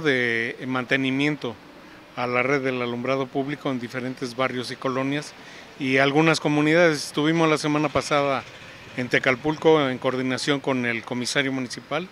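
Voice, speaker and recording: A middle-aged Mexican man, a town official, answering questions in a halting way with many fillers and restarts, outdoors on a street. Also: medium pitch, fairly bright tone, slight background noise. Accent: Mexican Spanish. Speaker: municipal energy-saving director. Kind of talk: on-street interview. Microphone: handheld reporter mic close up.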